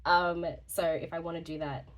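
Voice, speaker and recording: A woman's voice: lowish voice, little pitch movement, moderate pace, slight background noise. A young woman with a slightly congested voice, rambling casually through a vlog update, chatty and laughing often. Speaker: journaling YouTuber.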